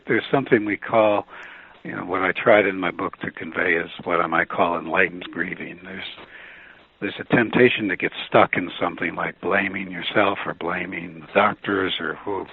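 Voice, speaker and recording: Low gravelly guy calling in to a radio show, calm and measured, tinny phone sound. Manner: calm, measured, with fillers and restarts. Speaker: author and guest. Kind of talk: radio interview conversation. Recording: phone line with faint noise.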